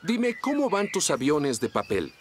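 A man voicing a calm, friendly, capable firefighter hero in a Latin American Spanish cartoon dub. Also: on the high side, measured pace. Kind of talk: animated cartoon dub acting. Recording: loud music behind.

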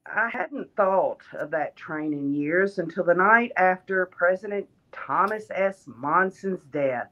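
A woman reading a written first-person account aloud in a measured way, occasionally stumbling over words. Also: medium pitch, lo-fi sound.

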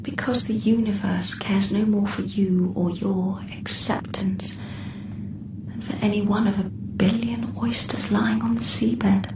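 Echoing, creaky, detached machine mind. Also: female voice, mid-range voice, little pitch movement, unhurried. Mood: cold, detached. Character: aloof female artificial intelligence, coldly philosophical. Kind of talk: radio drama acting. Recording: distant, echoing, noisy radio drama sound.

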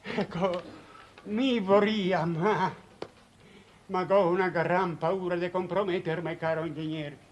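An actor playing a fussy, timid elderly village official with a Venetian accent, stammering and deferential in a 1950s Italian television period drama. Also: male voice, high voice, fairly even intonation, slow. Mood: fearful, hesitant. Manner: stammering, hesitant, obsequious. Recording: noisy old 1950s TV soundtrack.

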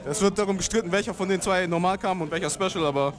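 A man's voice: high voice, fast talker. A young man, commentating a card game tournament in a laid-back, slangy way.

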